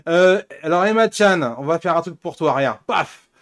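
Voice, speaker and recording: A man's voice: fairly high pitch, animated, normal speed, fairly bright tone. A man from the south of France, hosting a web show in a casual, hesitant, rambling and jokey way. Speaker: host of a pop-culture web show. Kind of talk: casual chat.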